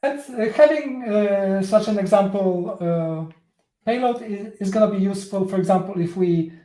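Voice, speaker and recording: A man with a Polish accent, a native Polish speaker, gives a live coding talk that is halting and full of fillers and restarts. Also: high-pitched, dark tone, roomy sound, poor audio. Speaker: tech presenter.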